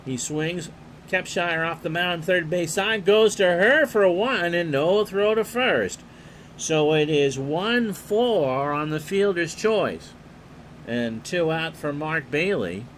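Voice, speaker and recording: A man calling a replayed baseball game play-by-play in a calm, unhurried way, reading player stats and chatting with viewers. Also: a little high, slight background noise, rough recording. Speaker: play-by-play announcer and streamer.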